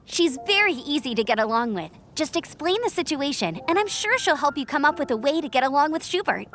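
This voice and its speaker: A woman voicing a cheerful young female knight scout who talks in a friendly, lively, chatty way in a game cutscene. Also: high-pitched, a little fast. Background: game music.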